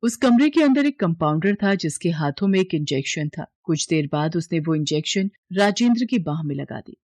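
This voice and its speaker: A woman's voice: lowish voice, expressive, moderate pace, a little mellow, no room sound. Woman narrating a story, dry close sound. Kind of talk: scripted dramatic story narration.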